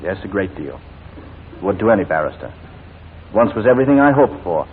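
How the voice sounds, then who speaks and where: A low-voiced, proud prosecuting barrister in a 1940s radio play. He speaks formally and insistently with big swings of pitch, through a narrow, tinny old broadcast sound. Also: big pitch swings, fairly quick, a little hiss or hum. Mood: tender. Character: shrewd, proud English prosecuting barrister, devoted husband. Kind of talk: 1940s radio drama acting.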